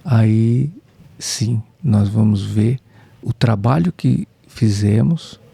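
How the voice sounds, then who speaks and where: A man co-hosting a spiritist study podcast, speaking in a measured, reflective way. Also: on the low side, gravelly, dark tone, breathy edge, no echo.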